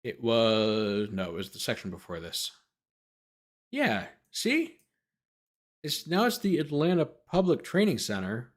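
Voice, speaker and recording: A man with a medium-pitched voice that rises and dips expressively as he talks. He works as a host and lawyer commentator, reading documents aloud and commenting off the cuff. His delivery is sardonic, slipping between formal reading and quippy asides. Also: animated, measured pace.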